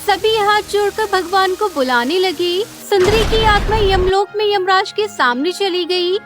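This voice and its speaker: A woman's voice: extremely high pitch, a little slow, over loud music. A woman narrator reading a scripted children's story.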